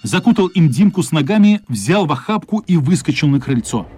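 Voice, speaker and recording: A man's voice: a little high, sing-song, fairly quick, warm tone, slightly rough. A man reading scripted storytelling narration for a radio play. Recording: music playing behind the narration.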